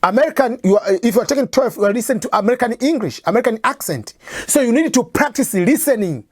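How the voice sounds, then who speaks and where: A woman's voice: a little high, lively intonation, quick pace, a little mellow, husky, no echo, clean studio sound. A woman from East Africa with an East African accent, a native Swahili speaker, gives an informal lecture on English test preparation and keeps restating her points with examples. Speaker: English test-prep teacher.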